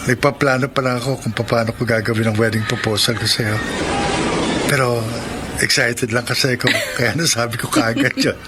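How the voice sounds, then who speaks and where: A man's voice: medium pitch, fairly even intonation, normal speed, very noisy, lightly acted. A man playing an earnest boyfriend in love in a Filipino radio romance drama, with a Filipino accent. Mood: tender, eager. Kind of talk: radio romance drama acting with first-person narration. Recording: music bed behind the voice.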